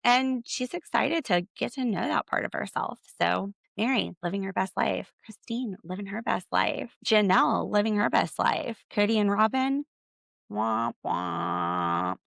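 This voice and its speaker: A young woman talks through a reality show recap in a loose, sarcastic way. Her voice crackles with heavy vocal fry. The sound is dry and close, with no room around it. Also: measured pace, fairly bright tone, no echo. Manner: chatty and sarcastic, acting out quotes in a high voice. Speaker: podcast host. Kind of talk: casual recap chat.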